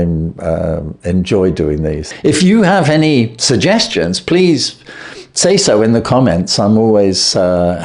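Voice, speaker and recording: Male storyteller, lively pitch. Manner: hesitant, many fillers in chat. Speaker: audiobook reader. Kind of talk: storybook narration and casual sign-off.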